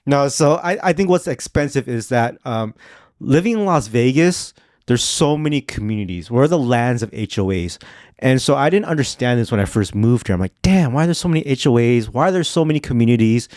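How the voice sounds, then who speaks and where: A man's voice: mid-range voice, animated, fairly quick, a little mellow, dry recording. Podcast co-host and realtor type, male, joking and offhand, dry studio sound. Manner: loose and joking, trails off and restarts. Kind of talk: casual chat.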